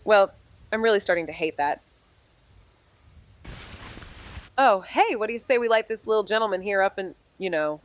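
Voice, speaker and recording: A woman's voice: medium pitch, lively intonation, fast, close to an everyday voice. An actress playing an irreverent, impulsive young woman amateur detective in a naturalistic audio drama, talking in a casual, slangy, wry way. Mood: restless, cajoling.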